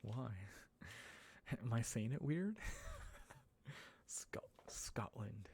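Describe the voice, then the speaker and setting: An American man hosting a live ASMR question-and-answer stream, talking softly and slipping into whispers, with frequent chuckles. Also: medium pitch, animated, extremely slow, slightly rough. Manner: soft-spoken, drifting into whispers, chuckling often.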